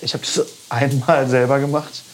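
Woman, very low voice, slightly hoarse, chatty, self-mocking, noisy kitchen with sizzling pans. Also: level tone, moderate pace. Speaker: podcast host. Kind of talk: casual cooking chat.

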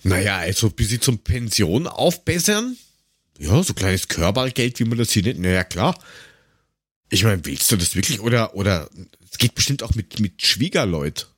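A man co-hosting a podcast in casual, joking chat, rambling and haltingly searching for words with a dry sarcastic streak. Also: fairly low pitch, very animated, husky, no echo. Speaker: podcast co-host.

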